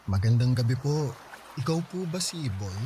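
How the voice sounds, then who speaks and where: A man's voice: medium pitch, animated, moderate pace, noisy background, close to an everyday voice. A Filipino man acting in a radio drama as a polite, naive young husband, anxious and stammering. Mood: polite, shy. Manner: hesitant, stammering, respectful.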